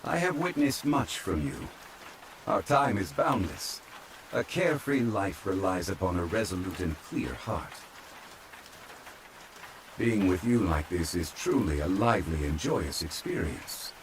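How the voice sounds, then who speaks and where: A low, deep male voice over steady rain , a man acting out an ancient, immortal gentleman from a fantasy game. He is calm, courteous and old-fashioned, with formal, measured phrasing meant for relaxing ASMR. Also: background noise. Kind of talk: soft ASMR roleplay, fantasy game character. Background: rain falling.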